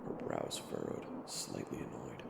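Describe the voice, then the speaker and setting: A man's voice: a bass voice, lively intonation, a little slow, creaky, heavy background noise. A man giving scripted, dramatic narration for an audio roleplay story. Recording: echoey reverb, music behind.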